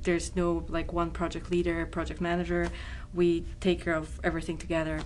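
A young woman, an engineering student, speaking softly and hesitantly in an interview. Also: on the low side, monotone, a little fast, slight background noise. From Poland. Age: young adult. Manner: soft, hesitant, with fillers. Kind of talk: documentary interview. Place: indoor room.

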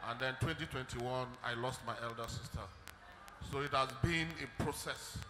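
A man's voice: medium pitch, moderate pace. A man with a Nigerian accent, a congregant answering a preacher in short subdued replies, speaking into a handheld mic held for him. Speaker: congregant receiving prayer. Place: large church hall. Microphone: handheld microphone held for him. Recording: roomy hall with noise.

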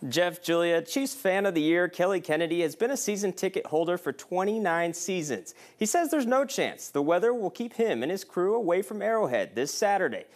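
A man reporting a local TV news story, narrating in an upbeat, lively way. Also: high voice, fairly quick, hardly any pauses. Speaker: field reporter.

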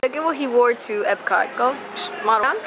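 A woman's voice: on the high side, normal speed, very noisy. A woman in her twenties vlogging at a theme park, teasing and upbeat. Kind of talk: casual chat. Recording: loud music and crowd behind.

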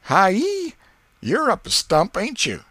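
A cocky, pushy young boy, acted by a man, speaks in folksy dialect in a storybook. The voice sits high and swings up and down in pitch. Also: wildly swooping pitch, takes it slowly, sharp tone, no echo, full character voice. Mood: taunting. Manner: folksy, teasing boyish dialect. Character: cocky, needling boy who turns eager and wheedling.

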